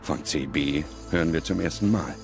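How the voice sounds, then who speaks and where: A man reading scripted documentary narration in a measured, dramatic manner. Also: extremely low pitch, creaky, faint music behind.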